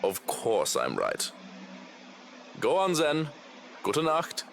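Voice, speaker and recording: A man's voice: fairly high pitch, huge pitch swings, slow, vocal fry, a little hiss or hum, exaggerated voice. A man voicing a formal, condescending doctor who serves a mansion in a visual novel, speaking primly.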